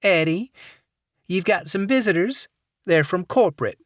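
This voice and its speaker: Audiobook: male narrator voicing a saccharine Southern lady receptionist in her fifties, sitting high in his range, dry read. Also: animated, moderate pace, no echo, close to an everyday voice. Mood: sugary. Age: 50s. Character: sweet chatty middle-aged Southern receptionist woman.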